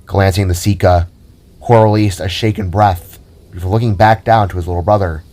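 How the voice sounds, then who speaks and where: A man's voice: deep voice, steady tone, normal speed, warm tone. A man narrating a scripted audio drama in a dramatic storytelling style.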